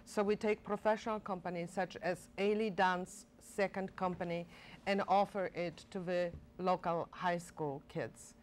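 An older woman talks eagerly in a television studio interview. She restarts her sentences and fills pauses with "uh" and "you know," recorded cleanly on a lapel mic. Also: lowish voice, a little hiss or hum. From Poland. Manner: enthusiastic, animated, many fillers and restarts. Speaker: director of a college performing arts center.

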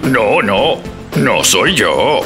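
A woman voicing a foolish male crocodile in a cartoon storybook, with a slow, dopey drawl. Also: rumbling low, very animated, over music, voice pushed down, heavily acted. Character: dim-witted male crocodile husband.

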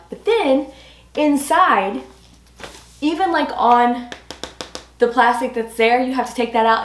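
A woman in her forties with a slightly hoarse voice, chatting enthusiastically to viewers as she reviews home products. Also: mid-range voice. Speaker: home decor vlogger. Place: small furnished rooms at home, indoors. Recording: roomy echo.

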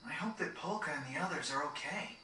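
A man's voice: on the high side, animated, a little fast, distant mic, a little hiss or hum. An actor playing an earnest, youthful male adventurer in a fantasy video game cutscene. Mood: concerned. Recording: echoey TV capture, loud music behind.

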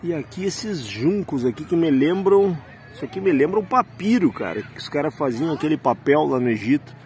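A man's voice: a little high, sing-song, brisk, darkish tone. A man, chatting casually to viewers in a vlog and pointing things out as he goes. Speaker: rideshare driver and travel vlogger. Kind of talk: casual walking-tour vlog.

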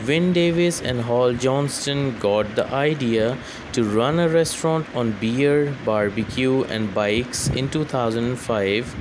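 A man reads a scripted voice-over at a middle pitch, keeping the same flat delivery from item to item. A clear, steady noise runs under the whole recording. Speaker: voice-over narrator. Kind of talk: scripted narration.

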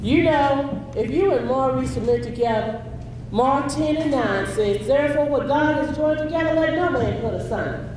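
A woman's voice: high-pitched, normal speed, music in the background, lightly acted. A woman acting on a theater stage as a devout, stern mother who quotes scripture in an emphatic, preachy way. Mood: stern, pleading. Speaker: stage actor. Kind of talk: live gospel stage play acting. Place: theater stage with kitchen set. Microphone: far camera. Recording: echoey theater, far-off camera mic.

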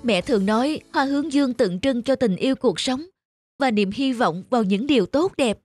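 A woman voicing a caring grown daughter in a cartoon, gentle and coaxing. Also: a little high, lively intonation, a little fast, no room sound, faint music behind. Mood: tender. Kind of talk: cartoon dubbing.

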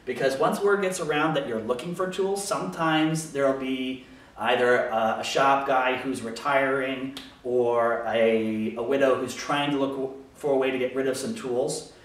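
A man's voice: medium pitch, fairly even intonation, measured pace. An American man in his thirties talking casually to camera, explaining things as a woodworker answering questions in his small workshop. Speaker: woodworker hosting a shop Q&A video. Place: small home workshop, indoors. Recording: very echoey room, distant microphone.

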